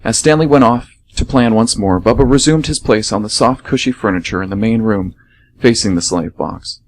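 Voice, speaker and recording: A man's voice: on the low side, normal speed. A man reading scripted story narration for a radio theatre piece. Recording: faint background noise.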